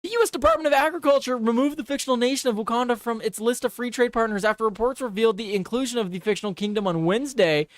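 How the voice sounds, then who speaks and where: A man's voice: extremely high pitch, very fast, hardly any pauses, no room sound. A young man hosting a casual live show, chatty and reactive, swearing and repeating himself as he reads headlines. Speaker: livestream host. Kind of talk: casual live news quiz chat.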